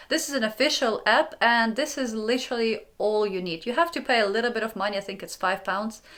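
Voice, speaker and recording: A young woman from Ukraine, hosting her own channel, gives friendly step-by-step advice in a clear, upbeat way. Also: mid-range voice, fairly bright tone. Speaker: channel host.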